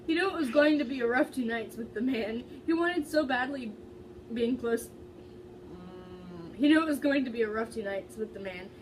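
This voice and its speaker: Casting: woman with a fairly high voice, informal dramatic story reader who trips on words, recorded at a distance with slight noise. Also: normal speed. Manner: stumbles over words while reading. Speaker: amateur reader. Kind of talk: dramatic fan fiction reading, casual and giggly. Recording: distant mic, faint background noise.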